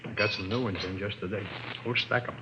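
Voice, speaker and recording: Actor playing fast-talking sleazy street peddler, old radio. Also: male voice, on the low side, steady tone, close to an everyday voice. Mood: wheedling. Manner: slangy, wheedling salesman patter. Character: sleazy, chummy male peddler of illicit picture books. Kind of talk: 1950s radio drama acting. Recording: dull, very noisy old radio broadcast.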